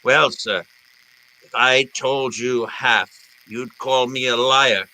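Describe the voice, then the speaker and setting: An actor playing a jovial, wordy, calculating fat crime boss in florid old-time radio style. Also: male voice, mid-range voice, fairly even intonation, pushed and bright, low-quality recording. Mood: jovial, expansive. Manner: florid, theatrical, deliberate phrasing.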